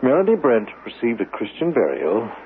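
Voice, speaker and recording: An actor playing an earnest, gently probing minister in a radio drama, hesitant, solemn and measured in manner. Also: male voice, mid-range voice, wildly swooping pitch. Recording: tinny, noisy vintage radio broadcast.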